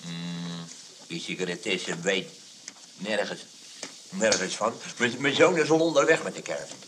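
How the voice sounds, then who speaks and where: A man's voice: low-pitched, unhurried, a bit bright. An actor playing a boastful old father posing as a lawyer, hesitant and repeating himself, in a comic radio play. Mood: hesitant, bluffing. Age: older. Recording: faint noise, old radio play recording.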